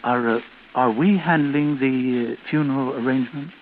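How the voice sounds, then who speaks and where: An actor playing a solicitous, solemnly courteous mortician with a smooth sales patter in a 1940s radio drama. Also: male voice, medium pitch, moderate pace, close to an everyday voice. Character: unctuous, solicitous mortician selling caskets. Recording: muffled, hissy old radio recording.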